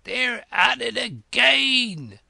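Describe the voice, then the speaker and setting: A man's voice: a little high, wildly swooping pitch, a little slow, bright, forceful tone, dry recording, full character voice. A man voicing a sulky, odd messenger in a storybook, with an emphatic, jumpy delivery. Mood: loud, urgent.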